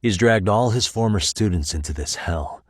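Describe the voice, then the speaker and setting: A deep American male detective for a dramatic video game, recorded close and dry. He is composed and probing, questioning in a controlled, pointed way with a wry, hard edge and some huskiness to the tone. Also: expressive, normal speed, dry recording. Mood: serious, pressing. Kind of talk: video game voice acting, dramatic interrogation dialogue.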